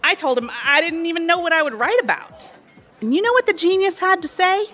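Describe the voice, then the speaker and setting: A woman's voice: extremely high pitch, animated, brisk, bright, forceful tone, soft background music, heavily acted. An actress playing a chatty, pushy, sly cocktail waitress in her thirties, breezy and overly familiar, in an audio drama. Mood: chatty, griping. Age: 30s. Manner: breezy, familiar, insinuating, talkative.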